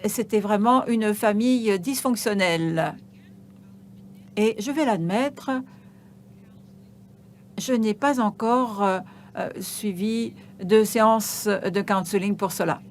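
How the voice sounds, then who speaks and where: A woman giving a live interpretation of an on-stage conversation, halting, with frequent hesitations and restarts. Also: medium pitch, a little hiss or hum.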